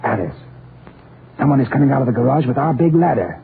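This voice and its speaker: A man's voice: medium pitch, animated, fast, creaky. A man playing a determined, stubborn middle-aged husband and father in old radio comedy. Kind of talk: 1940s American radio comedy acting. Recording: tinny, faintly noisy old radio recording.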